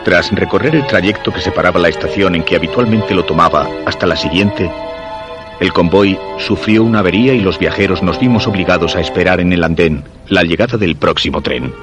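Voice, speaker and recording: An actor from radio theatre playing an obsessed, lovelorn commuter who narrates his search in brooding, deliberate Castilian Spanish. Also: sits low, over music, lightly acted. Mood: anxious, obsessed. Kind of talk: dramatic first-person radio theatre narration.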